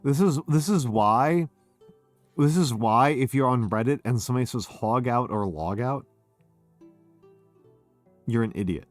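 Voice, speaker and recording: A man's voice: medium pitch, a little slow, pauses often, soft background music. Drunk man rambling on stream. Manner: rambling, slurring restarts. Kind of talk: drunk casual chat.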